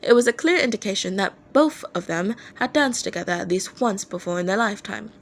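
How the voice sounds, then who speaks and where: A woman reads fan fiction aloud as an amateur narrator. Her voice is medium in pitch, and she tells the story expressively. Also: fairly quick, slight background noise. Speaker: amateur audiobook reader.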